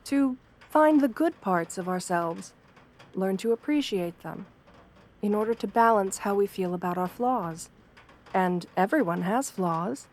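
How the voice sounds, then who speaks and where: A woman plays a kind wolf-girl therapist in an audio roleplay. Her voice is soft and mid-pitched, with a measured, soothing delivery. She sounds professional and reassuring, guiding a patient gently. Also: lively intonation, a little hiss or hum, close to an everyday voice. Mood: caring, encouraging. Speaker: therapist character speaking to a patient. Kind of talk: ASMR roleplay, guided affirmations.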